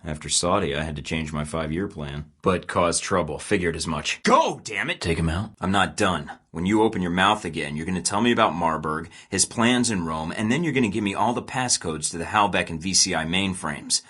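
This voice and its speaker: A man's voice: low voice, lively intonation, fairly quick, few pauses, pushed and bright, slightly rough, full character voice. An actor playing a terse, dry-witted male spy agent in game voice lines. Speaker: video game character.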